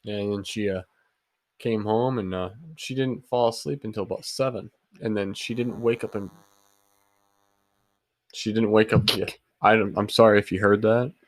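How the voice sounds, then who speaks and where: A man's voice: lowish voice, steady tone, moderate pace, no room sound. A young man with a stuffy head cold and a nasal sound, chatting casually on a headset as a podcast co-host. He jokes and teases and rambles with lots of fillers. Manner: joking, teasing, rambling with many fillers. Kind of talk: casual chat.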